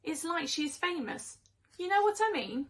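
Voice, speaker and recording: Woman as chatty house cat, high-pitched, opinionated, expressive rhyming storybook delivery. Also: moderate pace, slight background noise. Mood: chatty, self-satisfied. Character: chatty, opinionated house cats gossiping about their humans.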